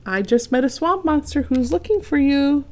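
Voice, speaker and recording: Helpful storybook daisy, high and sing-song; woman reading. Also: lively intonation, moderate pace. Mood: friendly. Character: friendly talking daisy flower.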